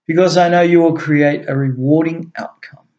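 A man's voice: a little high, steady tone, normal speed, a little mellow, dull audio, pitched above the performer's own voice, natural voice. A man voicing a gracious old alien king who speaks kindly to a trusted servant.

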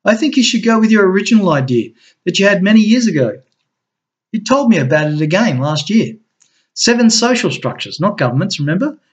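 A man's voice: high-pitched, very animated, a little fast, voice pushed up. A man voicing a sweet but sarcastic woman who teases her partner. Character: woman, a man's loving, sharp-tongued partner. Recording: dull lo-fi recording.

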